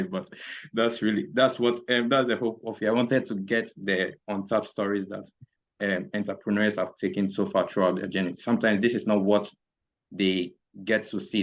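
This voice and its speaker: Low-voiced man hosting, dull lo-fi sound. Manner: hesitant, many fillers, affirming murmurs. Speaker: podcast host. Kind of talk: interview hosting.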